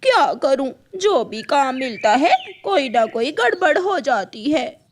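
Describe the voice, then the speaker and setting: A woman's voice: sits high, big pitch swings, a little slow, slight background noise. A woman voicing a rude, sulky young female bird with a quarrelsome, whiny manner in a cartoon. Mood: downcast, unsure.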